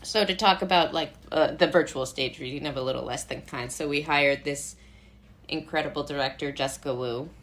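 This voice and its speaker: A woman's voice: sits low, a little fast. An American woman talking casually as an interview guest, in a rambling way full of "like" and self-interruptions. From Brooklyn, New York, USA. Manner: rambling, animated asides with frequent "like" and self-interrupting laughs. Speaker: playwright, director and actor, interview guest.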